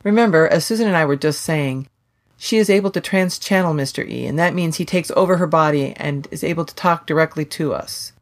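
A woman hosting a podcast, explaining things in a measured way. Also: low-pitched. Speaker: podcast host. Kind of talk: casual podcast chat and scripted intro.

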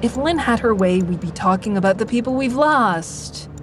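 A woman's voice: on the high side, expressive, normal speed, soft background music, lightly acted. A woman playing a sardonic, anxious insomniac, confiding dryly as she narrates a first-person audio drama. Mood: fed up, grieving. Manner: wry, confiding, dry.

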